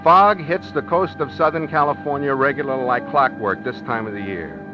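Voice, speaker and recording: Actor as a henpecked 1950s radio husband, muffled recording. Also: male voice, medium pitch, normal speed, over music, lightly acted. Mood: wistful, musing. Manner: plainspoken, hesitant, pleading. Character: henpecked, wistful, awkward working-man husband. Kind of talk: 1950s radio drama acting, first-person narration.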